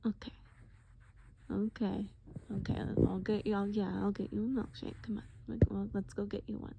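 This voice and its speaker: A woman playing a gentle, patient boyfriend in acted-out roleplay, coaxing with pet names and simple, repeated reassurances. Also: medium pitch, husky, highs cut off. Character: gentle, patient boyfriend soothing a groggy partner.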